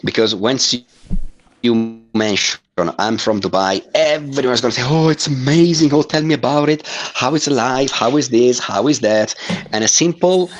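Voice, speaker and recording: A man's voice: mid-range voice, moderate pace. A middle-aged Italian man speaking English with an Italian accent as a guest on a video call, hesitant and full of fillers. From Italy. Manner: hesitant, many uh fillers, laughs while talking.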